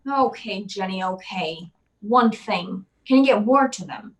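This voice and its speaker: A woman's voice: mid-range voice, animated, a little slow, lightly acted, poor audio. A woman playing a tough, wisecracking, unflappable private detective in an old-time radio drama, with clipped, slangy delivery. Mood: tough, pressing.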